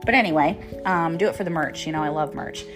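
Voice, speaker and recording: A woman's voice: low-pitched, fast talker. A woman in her thirties telling personal stories casually and candidly, rambling and swearing freely as she speaks as a host. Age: 30s. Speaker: host, author and motivational speaker. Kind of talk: casual personal storytelling monologue. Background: music.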